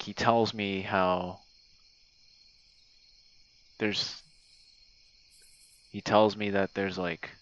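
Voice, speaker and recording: Slow-talking man, bright voice, dull lo-fi recording. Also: fairly low pitch, no echo. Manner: informal, swearing, slangy. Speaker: audio roleplay creator. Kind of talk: casual rambling monologue. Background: chirping crickets, night sounds.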